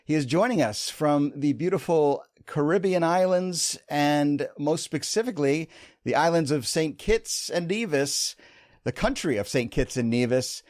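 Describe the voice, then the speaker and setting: A man's voice: on the high side, animated, a little slow, a little mellow, no echo, studio quality. A man hosting a podcast interview, upbeat and chatty, laughing easily. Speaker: podcast host.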